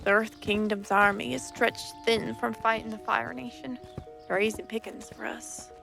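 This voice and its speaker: A woman voicing a bold, proud female river pirate captain in a fan-made animated comic dub, speaking with confident command. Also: on the high side, moderate pace, gravelly, over music. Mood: scheming.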